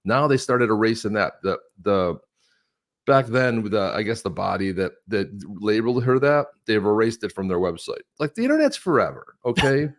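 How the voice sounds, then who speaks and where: A man's voice: fairly low pitch, very animated, moderate pace. A man of about sixty talking politics in a casual, joking way as co-host of a live show, often breaking into laughs. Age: 60s.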